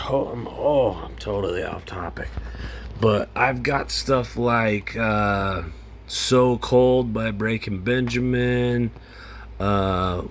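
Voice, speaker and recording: A man in his forties, hoarse from singing, rambling in an unscripted vlog from his home computer setup. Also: medium pitch, a little hiss or hum. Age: 40s. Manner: rambling, loose, self-interrupting. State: raspy from singing. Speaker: vlogger. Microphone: home studio mic through audio interface.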